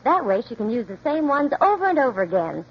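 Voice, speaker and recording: A woman's voice: a little high, sing-song, quick pace, breathy edge. A woman with an American accent doing a scripted 1940s radio commercial as a chatty spokeswoman. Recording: muffled old radio broadcast, hiss.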